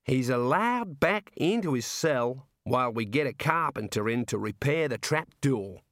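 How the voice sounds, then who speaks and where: A man's voice: mid-range voice, expressive, unhurried, a bit bright, no room sound, clean studio sound. Man playing a jovial Aussie prison warder. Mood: helpful, chatty. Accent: Australian. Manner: jovial, breezy, matey. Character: cheerful chatty male prison warder, jovially morbid, folksy.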